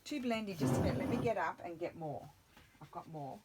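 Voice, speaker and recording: Croaky, rambling woman chatting while crafting. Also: on the low side, animated, a little fast, very noisy. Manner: rambling, self-interrupting, thinking aloud. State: slightly croaky morning voice. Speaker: craft vlogger. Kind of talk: casual crafting chat.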